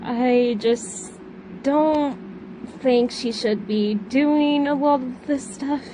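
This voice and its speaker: A woman playing a subdued, gentle young daughter in an amateur audio drama, speaking haltingly and trailing off. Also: sits high, background noise. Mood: hesitant, subdued.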